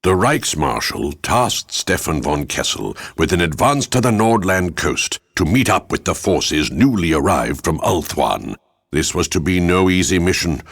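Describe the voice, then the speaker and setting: An actor narrating a dark fantasy war saga for a video game in a grave, portentous manner. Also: male voice, low-pitched, no room sound, full character voice. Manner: solemn, portentous. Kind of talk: scripted dramatic game narration.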